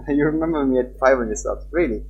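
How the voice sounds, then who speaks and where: A streamer reading a visual novel aloud live and chatting casually with viewers. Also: male voice, mid-range voice, slightly rough, lo-fi sound, soft background music. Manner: animated, chatty, quick laughs.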